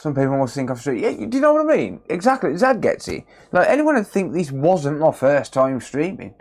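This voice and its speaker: A man's voice: on the high side, big pitch swings, a little fast, a little mellow. A man chatting casually on a live stream while repairing a console, sarcastic and swearing often as he answers his chat.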